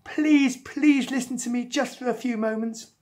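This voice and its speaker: A woman's voice: on the high side, normal speed, darkish tone, pitched above the performer's own voice, lightly acted. A woman voicing a determined little boy in a children's story, polite, earnest and pleading.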